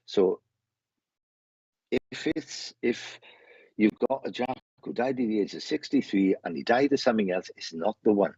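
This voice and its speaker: Husky Welsh man, low-pitched and chatty. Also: animated, normal speed, darkish tone. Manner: chatty, rambling, repeats phrases. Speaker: psychic medium hosting a live online show. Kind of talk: casual live chat, greeting viewers. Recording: muffled roomy online stream audio.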